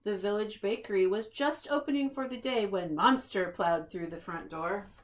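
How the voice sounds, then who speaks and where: A woman reading a children's picture book aloud in an expressive, deliberate storytelling manner, as if to young listeners. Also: medium pitch. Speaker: preschool staff reader.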